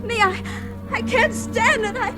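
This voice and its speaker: An actress playing a fragile, panicked young woman in an old radio horror play, breathless, halting and repeating herself. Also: extremely high pitch, sharp tone, exaggerated voice. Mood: terrified. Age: young adult. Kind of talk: 1940s radio horror acting, monologue. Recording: old radio drama with music underneath.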